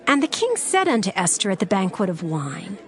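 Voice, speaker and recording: A woman narrating scripture in a measured, formal reading. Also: medium pitch, sing-song.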